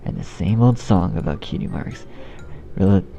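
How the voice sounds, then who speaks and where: A man narrating fan fiction in outtakes, halting and restarting, swearing at his own slips in a self-deprecating way. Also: sits low, lively intonation, creaky. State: shivering from cold. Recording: dull lo-fi sound, loud music. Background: loud music.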